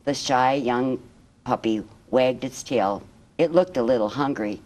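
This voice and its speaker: A woman reading a children's picture book aloud as a guest storyteller, in measured, expressive phrases. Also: rumbling low.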